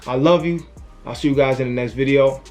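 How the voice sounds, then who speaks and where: A man's voice: mid-range voice, brisk, a little mellow, soft background music. A young man giving an earnest, casual motivational talk to camera as a YouTuber. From family from Haiti, raised in the US. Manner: earnest, conversational, frequent "you know what I'm saying".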